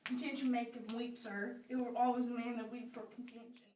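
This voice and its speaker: A woman's voice: on the high side, flat delivery, fairly quick, a little mellow, natural voice. A woman acting an earnest, simple male court clerk in an amateur play, rambling and deferential. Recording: echoey room, distant mic, faint noise.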